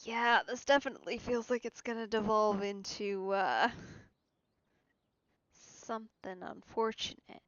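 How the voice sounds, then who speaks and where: A woman's voice: a little high, steady tone, unhurried, no room sound, muffled recording. A woman giving casual live commentary as she streams a game. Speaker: let's play streamer.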